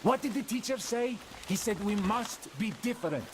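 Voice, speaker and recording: A man's voice: sits high, expressive, moderate pace, a little hiss or hum. An actor playing a stern young male forest group leader who scolds firmly. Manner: firm, scolding.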